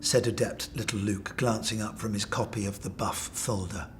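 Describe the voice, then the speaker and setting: A man's voice: fairly low pitch, fairly even intonation, moderate pace, noisy background. A man giving a dramatic literary narration, with the voice sounding somewhat distant.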